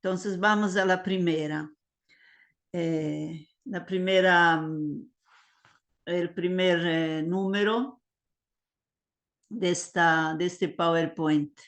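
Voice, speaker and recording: Older woman, unhurried halting online lecturer. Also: lowish voice, steady tone. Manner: hesitant, many fillers and Italian words. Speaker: liturgy teacher. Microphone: video call.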